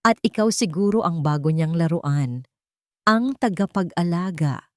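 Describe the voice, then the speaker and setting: A woman's voice: lively intonation, measured pace, no room sound, studio quality. A woman storyteller voicing a haughty, mocking rich society woman with a contemptuous, taunting manner. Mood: sneering. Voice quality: shrill.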